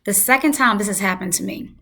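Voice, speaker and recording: A woman hosting a health channel, hoarse from losing her voice, talking casually and emphatically to her viewers. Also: medium pitch, quick pace, sharp tone. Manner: emphatic, repeats for effect. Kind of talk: casual talk to camera.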